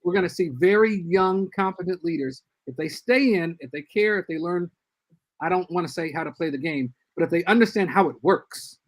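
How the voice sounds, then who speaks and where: A man's voice: high-pitched, animated. Older man giving earnest advice. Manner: earnest, measured advice with restarts. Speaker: guest church leader. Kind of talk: casual podcast discussion.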